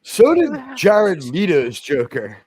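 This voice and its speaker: Man, very loud voice, opinionated, emphatic, casual stream talk, dry mic. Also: on the high side, wildly swooping pitch, slow pace, slightly rough, no room sound. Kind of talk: casual chat on a live stream.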